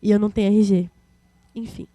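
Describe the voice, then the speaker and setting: A woman's voice: medium pitch, fairly even intonation, a little fast, mellow, highs cut off. A twenty-year-old woman, giving an informal talk as a student activist, colloquial and slangy as she answers questions. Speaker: guest speaker, student activist.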